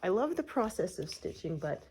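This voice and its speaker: A woman with a low voice in easygoing, rambling chat, plenty of "uh"s as she goes. She is middle-aged and still getting over a cold, so her voice sounds a touch stuffy. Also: normal speed, slight background noise, poor audio. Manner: relaxed, meandering, frequent fillers. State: recovering from a cold, slightly congested. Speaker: cross-stitch vlogger. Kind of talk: casual chat while stitching.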